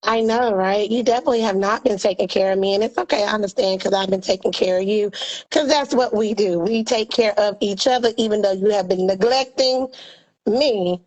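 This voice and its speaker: A hoarse woman co-hosting a casual video-call chat, sassy and animated. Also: medium pitch, a little fast, rough recording. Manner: sassy, animated, calls people girl and honey. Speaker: co-host.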